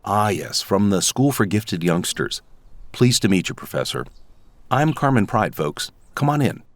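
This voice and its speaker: A man's voice: lowish voice, very animated, measured pace, no echo. A man playing a genial, hospitable middle-aged father with polite manners in an audio drama. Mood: welcoming.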